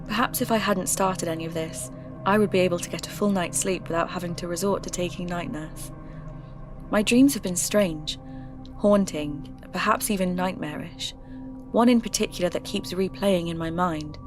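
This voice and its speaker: A woman playing an earnest podcaster who narrates her eerie folklore investigations in a measured, reflective way over music. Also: lowish voice, close to an everyday voice. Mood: haunted. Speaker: podcast host. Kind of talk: scripted first-person mystery narration.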